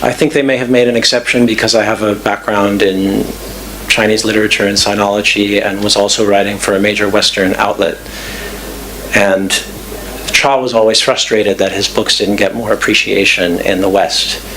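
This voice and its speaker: A man with a lowish voice answers audience questions in a thoughtful, measured way, often pausing on fillers. A faint hiss sits under his voice. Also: flat delivery, fairly bright tone, rough recording. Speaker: guest speaker. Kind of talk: lecture Q&A answers. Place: club event room.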